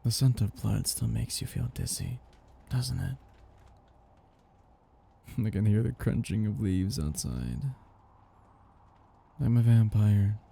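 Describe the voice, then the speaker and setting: Casting: male actor as ancient vampire master for ASMR roleplay, very dark and breathy, gravelly edge, possessive, teasing. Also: lowish voice, full character voice. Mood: brooding, possessive. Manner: languid, teasing, condescending endearments, soft chuckles. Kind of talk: intimate ASMR roleplay monologue.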